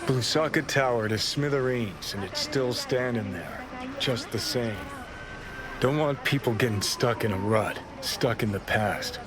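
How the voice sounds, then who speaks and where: An actor playing a cynical, rebellious rockstar in a video game, with a sardonic drawl, bitter and mocking. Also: male voice, medium pitch, a little slow, crowd noise, lightly acted. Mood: bitter, preaching. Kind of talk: cinematic game acting.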